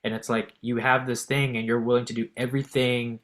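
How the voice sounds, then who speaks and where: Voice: medium pitch, little pitch movement, a little fast, fairly bright tone. A podcast host asking long, rambling questions and repeating words while framing them. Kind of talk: casual interview questions.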